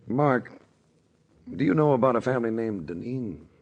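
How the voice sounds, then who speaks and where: An actor playing a tough, wisecracking private detective who narrates in a dry, hard-boiled 1940s radio style. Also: male voice, medium pitch, expressive, normal speed, darkish tone, natural voice. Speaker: narrator and lead character. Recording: hissy old radio broadcast.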